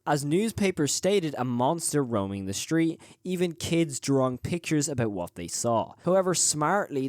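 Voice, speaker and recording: A man's voice: medium pitch, a little mellow, dry recording, studio quality. A man presenting a scripted video essay as the host of a horror review channel.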